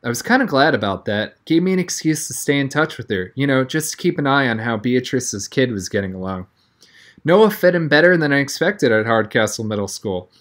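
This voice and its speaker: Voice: medium pitch, animated, fairly quick. A reader narrating a first-person children's novel aloud in a conversational manner, as an audiobook.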